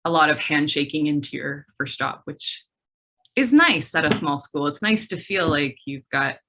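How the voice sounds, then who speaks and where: A woman hosting a relaxed conversation about a graduate program, talking in a chatty, upbeat way with frequent fillers. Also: sits low, moderate pace, noisy background. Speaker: graduate program faculty host. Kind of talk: casual video-call chat.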